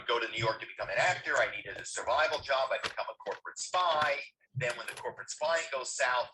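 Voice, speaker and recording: A man's voice: medium pitch, sharp tone, low-quality recording. A man, a writer and actor, answering interview questions in a thoughtful, rambling way.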